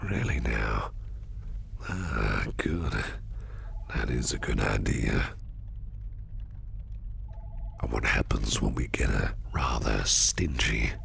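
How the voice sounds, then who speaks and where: Sinister businessman boss, extremely deep, slow breathy near-whisper, teasing menace, flirtatious, close-mic ASMR roleplay. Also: fairly bright tone, a little hiss or hum, heavily acted.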